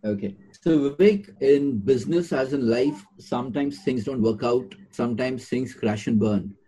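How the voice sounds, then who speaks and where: A man hosting an interview, asking measured questions and wrapping up politely. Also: mid-range voice, dark tone, breathy edge, low-quality recording. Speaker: interview host.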